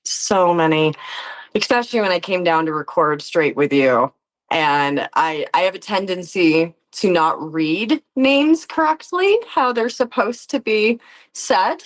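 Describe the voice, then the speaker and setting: Woman, lowish voice, earnest, expressive, reflective, casual interview guest. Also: a little slow. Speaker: interview guest, actor and musician.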